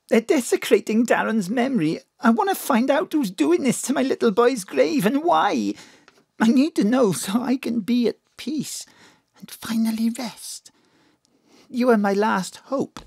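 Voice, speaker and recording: An actress playing a distraught bereaved mother in an audio drama, halting, stammering and pleading. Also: on the high side, gravelly, darkish tone, breathy edge, no room sound, studio quality, exaggerated voice.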